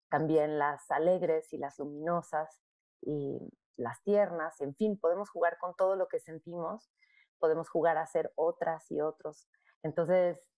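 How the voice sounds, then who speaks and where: A woman who works in theatre as director and actress, answering interview questions in a reflective way, pausing often and repeating words as she thinks. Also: low-pitched, dark tone, no echo. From born in Argentina, raised in Mexico.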